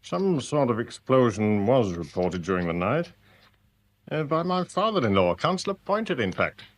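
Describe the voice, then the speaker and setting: A police chief inspector in a radio drama, with an English accent and a medium-pitched, very animated voice. He questions curtly and dryly, with open sarcasm. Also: normal speed. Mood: dry, mocking. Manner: curt, dry, sarcastic questioning. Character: brusque, sardonic male police chief inspector.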